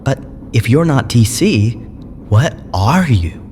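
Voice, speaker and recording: A man playing an earnest, bewildered young space adventurer in an audio drama, halting and incredulous. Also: mid-range voice, big pitch swings, darkish tone. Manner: halting, incredulous, stammering questions. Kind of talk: full-cast audio drama acting. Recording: clear noise bed behind the voice.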